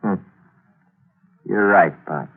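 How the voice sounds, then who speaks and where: Husky, low-voiced young radio-drama criminal. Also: male voice, slow, heavily acted. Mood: wary, terse. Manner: terse, clipped. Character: tense, hard-bitten young male murderer on the run. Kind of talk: 1940s radio thriller acting. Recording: muffled, tinny 1940s radio recording.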